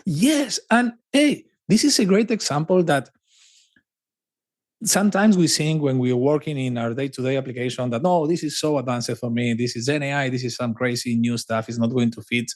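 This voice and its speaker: A man from Argentina, a native Spanish speaker with an Argentine accent, talking as a podcast guest in an animated, repetitive, conversational way. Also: medium pitch, normal speed. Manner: animated, with frequent restarts and emphatic repetition. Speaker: podcast guest, cloud advocate. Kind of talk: casual conversational interview.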